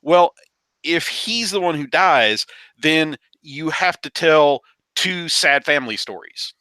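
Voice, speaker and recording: Guy on a movie podcast, kinda high bright voice, dry sound, keeps stumbling back over his phrases. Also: animated, no echo. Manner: halting, repeats phrases as he builds a point. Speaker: podcast panelist. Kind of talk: casual film discussion.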